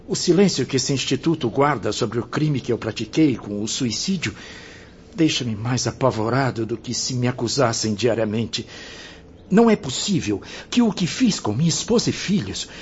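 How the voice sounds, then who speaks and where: A man's voice: mid-range voice, animated, moderate pace, slight background noise, close to an everyday voice. An actor with a Brazilian accent playing the anguished, guilt-ridden spirit of a man in a radio drama. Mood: anguished remorse. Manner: anguished, confessional, melodramatic. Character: tormented spirit of a guilty man who killed himself. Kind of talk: radio drama acting in Portuguese. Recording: dull audio under loud music.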